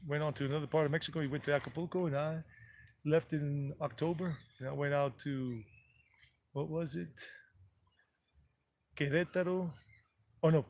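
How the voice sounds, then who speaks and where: Man, slightly high voice, loose digressive livestream chat, outdoor take with faint background noise. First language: English. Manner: loose and digressive, repeats figures, self-corrects. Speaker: travel vlogger. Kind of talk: rambling casual livestream chat. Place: outdoors on mountain property.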